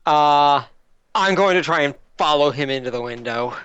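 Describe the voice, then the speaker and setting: A man's voice: a little high, moderate pace, a bit bright, clean tone, dry recording. A man playing a tabletop role-playing game, chatting casually on a dull-sounding microphone. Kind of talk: casual chat. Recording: close, dull voice-chat microphone.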